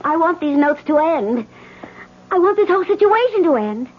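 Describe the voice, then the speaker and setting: An actress playing a willful stage actress who grows frightened, in an old radio drama. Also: female voice, very high-pitched, big pitch swings, normal speed. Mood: headstrong. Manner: headstrong, rising to terror. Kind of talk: 1970s radio drama acting. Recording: dull old radio broadcast.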